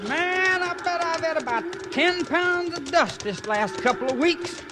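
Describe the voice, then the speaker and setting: An actor playing an eager, folksy young American cowhand in a radio western. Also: male voice, very high-pitched, expressive, unhurried, music in the background. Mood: weary, grumbling. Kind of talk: 1950s radio western acting.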